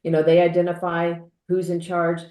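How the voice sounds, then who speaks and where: A woman's voice: lowish voice, monotone, normal speed, warm tone. A woman speaking conversationally as a panelist in a casual discussion. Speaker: podcast panelist. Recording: roomy, echoing sound.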